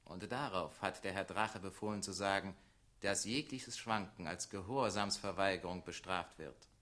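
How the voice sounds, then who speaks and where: A cool young private secretary in a 1960s radio drama. His voice sits fairly low. He speaks in formal, clipped phrases with an impassive, dutiful manner. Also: normal speed, a bit bright. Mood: cold, dutiful. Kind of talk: 1960s German radio play acting.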